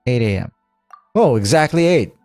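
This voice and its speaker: A man's voice: medium pitch, expressive, moderate pace, darkish tone, no echo. A man streaming a game with casual, reactive, jokey commentary. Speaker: gaming streamer. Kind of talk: casual let's-play commentary. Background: game music.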